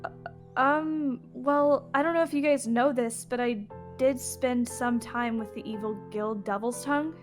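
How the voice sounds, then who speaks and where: A woman's voice: sits high, a little slow, faint music behind. A woman playing a hesitant, casual female dragon slayer with a shady past in a fantasy game roleplay. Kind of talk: improvised Minecraft fantasy roleplay.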